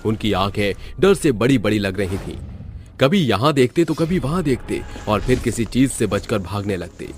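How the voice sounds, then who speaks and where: Low-voiced man narrating horror. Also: lively intonation, moderate pace, darkish tone, soft background music. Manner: dramatic storytelling. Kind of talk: scripted horror story narration.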